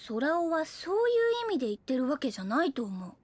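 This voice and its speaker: A woman's voice: sits high, big pitch swings, fairly quick, a little mellow. An actress playing an easygoing, affectionate young woman in an anime audio drama, talking in a frank, friendly, casual way. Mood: frank, gentle. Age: young adult.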